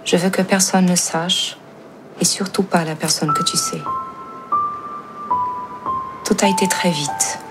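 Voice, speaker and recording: A woman voicing a letter writer over loud music. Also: fairly low pitch, fairly even intonation, unhurried, pauses often, close to an everyday voice. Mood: confiding. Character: woman writing a secret farewell letter. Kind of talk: film voice-over reading a letter. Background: loud film score.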